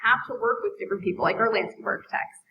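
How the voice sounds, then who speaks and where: A woman on school board sustainability staff, explaining her work briskly on a panel. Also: medium pitch, lo-fi sound, slight background noise. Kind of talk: panel talk.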